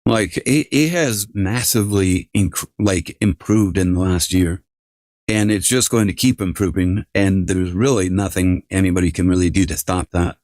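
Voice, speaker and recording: A man livestreaming casual reactions, talking in a halting, repetitive way with some swearing. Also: sits low, a little mellow, no echo, studio quality.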